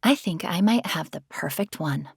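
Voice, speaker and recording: A woman voicing a gentle, curious young female AI companion, tentative and expressive, in an audiobook. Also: fairly low pitch, moderate pace, vocal fry, slightly rough, no echo, studio quality, full character voice. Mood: tentative, hopeful. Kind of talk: audiobook character dialogue.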